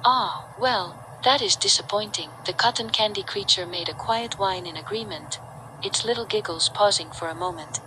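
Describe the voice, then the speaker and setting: A woman's voice: mid-range voice, moderate pace. A woman reading a scripted story as its narrator. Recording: clearly noisy background.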